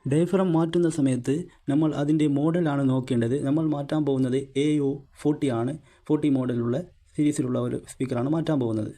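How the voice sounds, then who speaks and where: Very dark-voiced Malayali man giving instructions. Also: mid-range voice. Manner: plain, instructional. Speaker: host of a repair tutorial channel. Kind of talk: step-by-step how-to narration.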